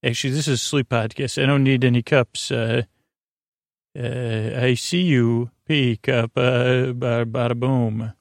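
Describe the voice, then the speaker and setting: A man with a creaky voice hosting a sleep podcast in a lulling, halting ramble, stumbling over words and drifting off on tangents. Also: medium pitch, little pitch movement, no room sound, clean studio sound. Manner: lulling, gentle. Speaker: podcast host.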